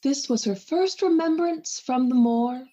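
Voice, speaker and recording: An actress playing a dutiful, wry wife, musing deliberately in Shakespearean verse for a radio play. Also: female voice, high voice, warm tone, muffled recording.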